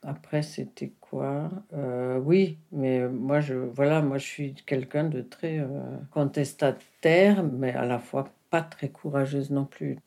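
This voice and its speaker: A woman's voice: very deep voice, fairly even intonation, slow pace, few pauses, dark tone. A woman whose first language is not French, speaking in an intimate conversation and searching for her words with frequent restarts. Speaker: interviewee, the filmmaker's mother.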